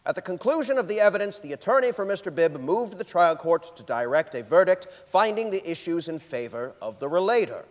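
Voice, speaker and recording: A man's voice: high voice, lively intonation, a little fast, hardly any pauses. A young man playing a stern, indignant supreme court justice in a formal, declamatory dramatic reading. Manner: solemn, declamatory, emphatic. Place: large theatre stage, indoors. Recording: reverberant theatre hall.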